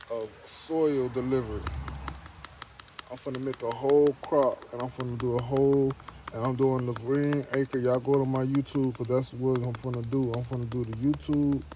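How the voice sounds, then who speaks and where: A man's voice: mid-range voice, noisy background. A man in his early thirties talking casually to camera, rambling and repeating himself, then giving an earnest motivational pep talk. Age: 30s. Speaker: homestead vlogger.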